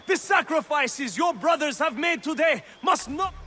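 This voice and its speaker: French army officer in a video game, pretty high voice, pompous commanding speech, battlefield noise. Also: male voice, animated, measured pace, pushed and bright, heavily acted. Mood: urgent, rallying. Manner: grandiloquent, commanding. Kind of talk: dramatic video game acting. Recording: battlefield and outdoor noise behind.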